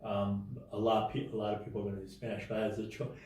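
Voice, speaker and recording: A man telling his life story as an interview guest in a casual, halting way, with many restarts and fillers. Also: lowish voice, completely flat delivery, darkish tone, heavy reverb, far from the mic.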